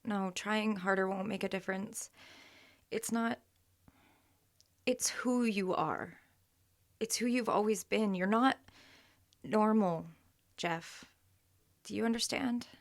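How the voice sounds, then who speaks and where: An actress playing an exasperated young girlfriend in an audio drama, speaking emotionally and haltingly, often dropping to a murmur. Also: medium pitch, creaky. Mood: hurt, frustrated. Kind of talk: naturalistic audio drama acting.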